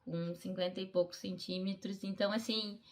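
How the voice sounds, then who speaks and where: A woman's voice: mid-range voice, steady tone, normal speed. A middle-aged woman, telling a personal story in a heartfelt, conversational way, as an athlete in a documentary interview. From Brazil. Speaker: Paralympic fencer, interviewee.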